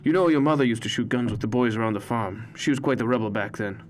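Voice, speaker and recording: Gruff, defiant old grandpa with a grumbling, teasing manner , put on by a male narrator, voice a touch higher than the reader's own and oddly colored. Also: fast talker, a little hiss or hum, close to an everyday voice.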